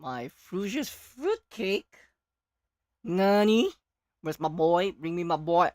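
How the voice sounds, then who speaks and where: Hoarse, animated game streamer of unclear sex. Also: medium pitch, slow, no room sound, clean studio sound. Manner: excitable, exclaiming greetings to chat. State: hoarse, voice partly lost. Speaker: game livestreamer. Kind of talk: casual livestream chat with viewers. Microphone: close microphone.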